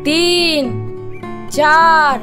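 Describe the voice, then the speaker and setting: Woman as an eager, high-voiced young boy. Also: fairly even intonation, very slow, bright, forceful tone, soft background music, heavily acted. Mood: playful. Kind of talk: Bengali cartoon drama acting.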